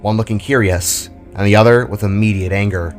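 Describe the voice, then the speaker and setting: A man with a fairly low voice narrates a scripted fantasy story. Faint music plays behind his reading. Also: fairly even intonation, a little fast.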